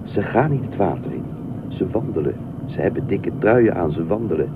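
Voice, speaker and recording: A low-pitched man answers in a dry, terse, matter-of-fact way, patient but reluctant. The old drama recording is thin and clearly noisy. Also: big pitch swings, moderate pace, phone-quality sound. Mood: puzzled, dry. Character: reluctant, dryly patient male stranger wanting to read his paper. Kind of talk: Dutch radio play acting.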